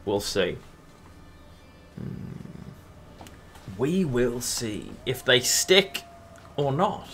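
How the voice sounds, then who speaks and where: A man's voice: medium pitch, sing-song, drawn-out words, pauses often, fairly bright tone, faint music behind. A man from England streaming a card game, thinking aloud between moves and answering chat casually with lots of murmured fillers. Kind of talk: casual live-stream chat while playing.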